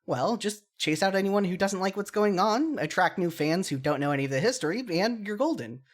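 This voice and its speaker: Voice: medium pitch, expressive, brisk. Podcast host, mid-range voice, solo commentary; earnest, opinionated, casually self-deprecating.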